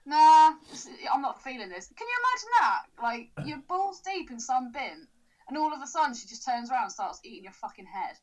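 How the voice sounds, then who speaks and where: A woman's voice: sits high, sing-song, a little fast, poor audio. A young woman co-hosting a podcast, brash and laughing often, swearing freely in casual chat. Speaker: podcast co-host.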